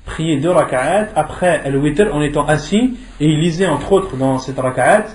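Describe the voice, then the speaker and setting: A man's voice: a little high, expressive, normal speed, slight background noise. A man giving an interactive religious lesson, posing questions in a didactic way and repeating key phrases for emphasis. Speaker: religious teacher. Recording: echoey room.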